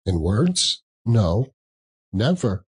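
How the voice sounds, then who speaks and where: A man voicing a sorrowful young woman in a storybook reading, soft, measured and gently reproachful. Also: on the low side, very animated, husky, heavily acted. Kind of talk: dramatic audiobook reading of a classic story.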